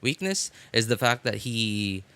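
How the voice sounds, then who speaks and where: A man's voice: fairly low pitch, big pitch swings, measured pace, pushed and bright, clear, resonant tone. A man giving casual, rambling livestream commentary, hesitantly thinking aloud and often rephrasing himself as he goes. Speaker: game livestreamer.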